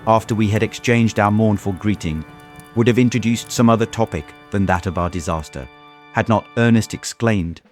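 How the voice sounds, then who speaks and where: An actor playing a tormented young gentleman who narrates a dramatized audiobook in an earnest, formal manner. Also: on the low side, normal speed, faint music behind, close to an everyday voice. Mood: sombre narration. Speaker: narrator and lead character.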